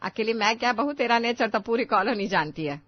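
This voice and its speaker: A woman's voice: a little high, expressive, fairly quick, no room sound, lo-fi sound. A woman voicing a demanding older mother-in-law in a family story, with a commanding, emphatic, nagging manner.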